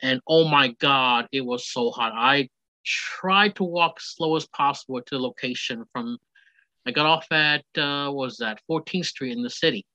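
A man's voice: mid-range voice, moderate pace, pushed and bright, dry recording, low-quality recording. A man in his forties, a guest speaking on the phone, telling his story in a chatty, rambling way. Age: 40s. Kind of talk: casual phone interview.

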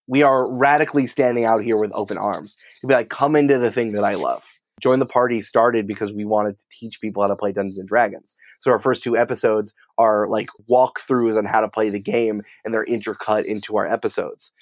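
Low-voiced man chatting, slightly dull audio. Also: fairly even intonation, brisk, dry recording. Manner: chatty, explanatory, peppered with "like". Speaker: interview guest, podcast company creative head. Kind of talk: casual interview conversation.

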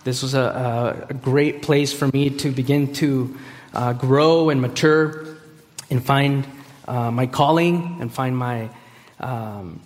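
A man in his thirties, from Costa Rica, preaching a sermon after a chatty personal introduction, with frequent fillers and restarts. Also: mid-range voice. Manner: halting, many fillers and restarts. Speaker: guest preacher. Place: large hall. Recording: hall reverb.

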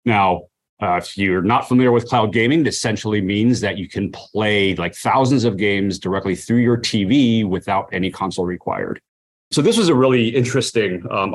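A man giving casual, conversational interview answers about his work, often saying "you know" and "like". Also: on the low side. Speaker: brand marketer, interview guest.

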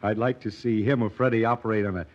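An actor playing an earnest, proud, stern doctor in a serious old radio drama manner. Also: male voice, on the low side, fairly even intonation, fast, natural voice. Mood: bitter, determined. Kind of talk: 1940s radio drama acting. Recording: dull, muffled old radio recording.

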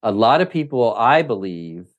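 This is a middle-aged man coaching triathletes, speaking as a podcast guest. His voice sits at an everyday middle pitch. He is emphatic and opinionated and stacks up examples in lists. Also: very animated, moderate pace, dull audio. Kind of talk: interview answers.

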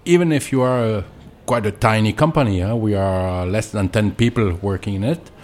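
A man with a French accent, a winemaker being interviewed, explaining things in hesitant, deliberate non-native English. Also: on the low side, a little mellow, a little hiss or hum. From Alsace, France.

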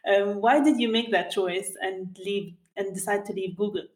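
A woman's voice: mid-range voice, fairly even intonation, moderate pace, reverberant. A woman hosting an interview podcast, reading a polished introduction and then asking questions conversationally. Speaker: podcast host.

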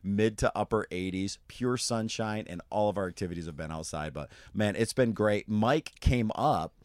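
A low-pitched man hosting a podcast, voice scratchy and worn out from a week of yelling. He talks in a relaxed, easygoing way with frequent fillers and easy laughter. The sound is dry and close, with no room around it. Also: moderate pace, dry recording. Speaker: podcast host. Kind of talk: casual chat. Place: camper trailer.

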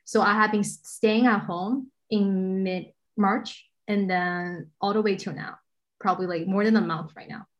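A woman's voice: medium pitch, fairly even intonation, a little slow. Young woman from China, podcast guest, eager, lots of fillers. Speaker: guest, staff writer. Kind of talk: casual podcast conversation.

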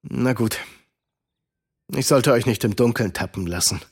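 A man's voice: mid-range voice, a little slow, slightly rough. A man playing a guarded uncle who mentors children, in a children's audio drama. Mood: thoughtful, troubled.

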